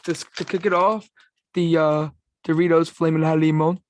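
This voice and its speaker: A young man with a raspy voice, co-hosting a podcast in laid-back, slangy casual chat. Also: fairly high pitch, flat delivery, moderate pace, very noisy. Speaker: podcast co-host.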